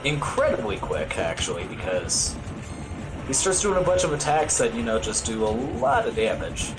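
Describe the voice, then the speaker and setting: A man's voice: mid-range voice, big pitch swings, moderate pace, noisy background. A man giving casual live commentary on his own speedrun, with wry, understated humor. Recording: loud game music behind voice. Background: video game music.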